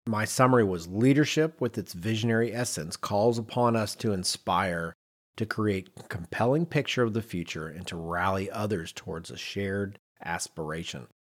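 Man, podcast host, lower-pitched, husky, conversational, emphatic delivery. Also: moderate pace. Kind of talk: conversational podcast discussion with read-out notes.

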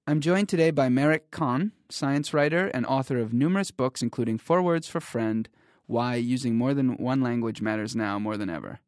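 A man hosting a podcast, reading a scripted introduction and then asking interview questions in a measured way. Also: mid-range voice, no room sound, clean studio sound. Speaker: podcast host.

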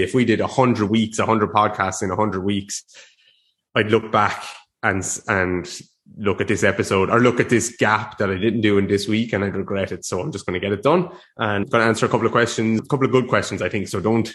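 A young man hosting his podcast in a casual, rambling chat, a little sniffly from a cold. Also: on the low side. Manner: rambling, chatty, with restarts and laughs. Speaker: podcast host.

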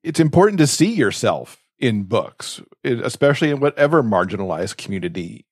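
A man's voice: medium pitch, big pitch swings, a little fast, slightly rough, dry recording, clean studio sound. A man chatting casually as a podcast co-host, speaking in a reflective, earnest way.

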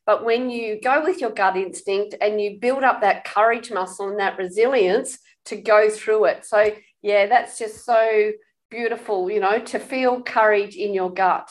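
A woman host keeps an interview going with steady agreement, picking up her guest's words and repeating them. She speaks at an ordinary middle pitch. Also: a little slow. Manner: affirming, echoes the guest's words, frequent yeahs. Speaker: podcast host. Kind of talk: interviewing, affirming conversation.

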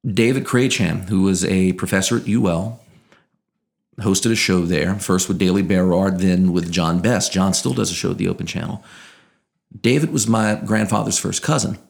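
A man in his thirties speaks in a low-pitched, fry-edged American voice with just a trace of Southern twang. His diction is careful and shaped like a broadcaster's, even as he chats and tells stories as an interview guest. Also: moderate pace. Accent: American, faint Southern twang. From Louisiana, United States. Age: 30s. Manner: precise, broadcaster-like enunciation. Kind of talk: conversational storytelling.

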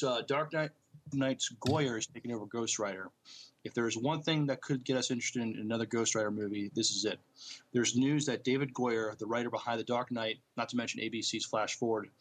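A man co-hosting a podcast, talking casually about films in a somewhat halting way. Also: medium pitch. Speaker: podcast co-host. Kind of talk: casual movie news chat.

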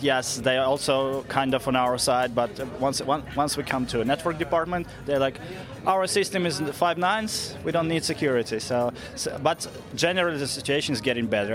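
Brief: Russian man, non-native speaker, measured explainer, mid pitch, recorded at an event with clear music behind. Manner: measured, explanatory, frequent "uh" and "let's say". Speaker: interviewed security researcher. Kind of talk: conversational interview answers. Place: conference event venue.

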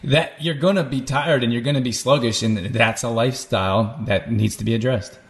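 A man hosts a podcast interview and puts his questions to a guest. He often stops and restarts words mid-sentence. His voice sits at an ordinary middle pitch. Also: brisk. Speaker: podcast host.

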